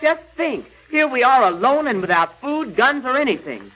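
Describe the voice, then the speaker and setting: A man's voice: very high-pitched, sing-song, moderate pace, bright, forceful tone, heavily acted, poor audio. An actor playing an eager, anxious young Boy Scout in an old radio serial, earnest and wide-eyed. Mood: worried. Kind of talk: 1930s radio serial acting.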